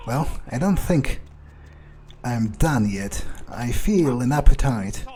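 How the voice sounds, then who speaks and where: Man roleplaying a slow-talking, arrogant vampire. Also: mid-range voice, expressive, mellow, faint music behind. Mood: gloating. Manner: drawling, halting, smug, contemptuous. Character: arrogant, menacing, soul-hungry male vampire predator. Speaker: game player roleplaying. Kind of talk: improvised in-character game roleplay.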